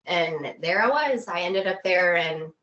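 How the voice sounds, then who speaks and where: A woman's voice: on the low side, animated, measured pace, fairly bright tone, highs cut off. A woman chatting casually and informally as a guest in an interview. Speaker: guest, expedition group co-founder.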